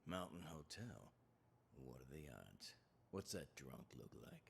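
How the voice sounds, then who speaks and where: Wary aging male criminal, extremely low and gravelly, audio drama game. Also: big pitch swings, measured pace, slight background noise, heavily acted. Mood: suspicious.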